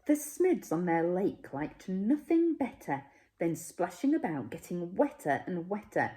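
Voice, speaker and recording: A woman narrates a rhyming storybook for young children. Her voice is fairly low and soft-edged, and she takes her time, phrasing each line dramatically with a highly varied melody. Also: sing-song, a little slow. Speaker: teacher reading a children's story.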